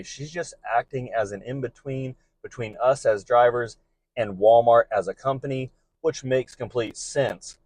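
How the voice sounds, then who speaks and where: A man with a medium-pitched voice talks informally, like a driver advising his peers in a vlog. He is earnest and encouraging, often restating his points. The sound is dry, with very little room in it. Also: a little mellow, no room sound, low-quality recording. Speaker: delivery driver giving advice to peers. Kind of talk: informal vlog advice monologue.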